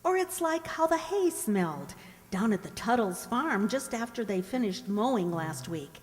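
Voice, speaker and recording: A woman's voice: on the high side, sing-song, normal speed, darkish tone. A woman playing a dreamy, earnest seventeen-year-old country girl in a radio drama, with a wistful, musing manner. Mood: dreamy, wondering. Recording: hall-like long reverb.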